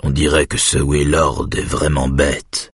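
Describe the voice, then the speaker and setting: Man as a calm, husky police officer. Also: very deep voice, a little slow, no echo, clean studio sound, pitched below the performer's own voice, heavily acted. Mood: coaxing. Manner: even, gently coaxing.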